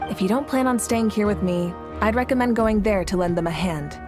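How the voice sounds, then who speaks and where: Lady voicing a game queen type leader, steady and formal, medium pitch, music playing under. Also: close to an everyday voice. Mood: calm, considerate. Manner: measured, formal, authoritative. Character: composed, caring woman leader of a warrior nation. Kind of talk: video game voice acting. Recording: music behind the voice.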